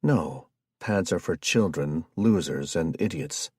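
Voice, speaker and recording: A low, husky voice for a gruff fighter and leader, as a male narrator plays him. He is curt and deadpan, dry and close in a storybook reading. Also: takes it slowly, dry recording, heavily acted.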